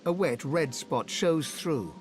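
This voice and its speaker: Fantasy game narrator, dramatic storytelling, lively intonation, mid pitch, music behind. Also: slow.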